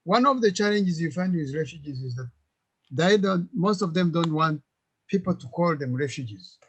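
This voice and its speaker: Earnest man, higher pitch. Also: animated, slight background noise. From Uganda. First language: Kinyarwanda. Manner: earnest, halting with repeated words. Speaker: guest. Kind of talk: webinar interview answers. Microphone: video call.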